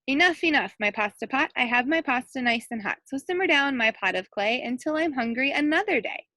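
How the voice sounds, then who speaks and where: An eager, boastful young man from a children's folktale, voiced by a woman reader. The voice is high and exclaiming, proud of itself, recorded close and dry. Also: animated, brisk, pushed and bright, no echo.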